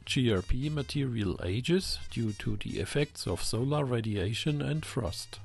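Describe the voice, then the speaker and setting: A man's voice: mid-range voice, expressive, measured pace, creaky, faint music behind. A man giving a methodical, scripted technical explanation as the host of an electronics channel.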